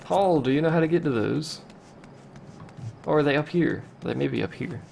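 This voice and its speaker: Creaky-voiced man streaming a game. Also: fairly high pitch, moderate pace, a little mellow, slight background noise. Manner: chatty, reads chat questions aloud, wry asides. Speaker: let's play streamer. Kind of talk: casual gameplay commentary.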